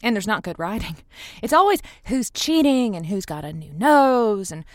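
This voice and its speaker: A woman's voice: medium pitch, big pitch swings, normal speed, dry recording, lightly acted. Actress as romantic radio advice lady, earnest and a bit dramatic, super dry studio sound, audio drama. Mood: indignant. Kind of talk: semi-improvised audio drama, conversational radio hosting.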